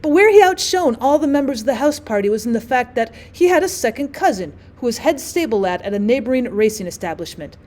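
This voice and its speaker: Female audiobook narrator, teacher reading literature aloud, voice on the high side. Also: darkish tone.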